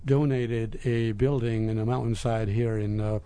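A man in his fifties from Lebanon, answering interview questions in a halting, measured way. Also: medium pitch, fairly even intonation, mellow, clean tone. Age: 50s. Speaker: interview guest, business executive.

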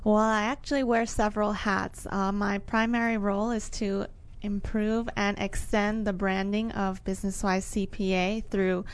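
A woman's voice: medium pitch, level tone, normal speed, clear, resonant tone. A woman working as a marketing director, answering interview questions on a business radio segment, with frequent uhs. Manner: hesitant, with fillers.

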